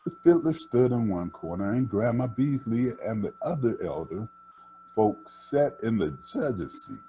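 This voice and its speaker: An older man reading a children's storybook aloud, with deliberate, dramatic phrasing. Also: medium pitch, dark tone, low-quality recording. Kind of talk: expressive read-aloud storytelling.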